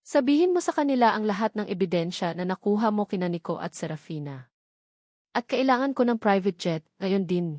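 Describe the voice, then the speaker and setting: A woman's voice: mid-range voice, lively intonation, a little mellow, dry recording, clean studio sound, close to an everyday voice. A woman voicing a desperate businessman in a story, pleading and demanding urgently to find his family. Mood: commanding. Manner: pleading, demanding, rapid questions. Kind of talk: dramatic storybook dialogue.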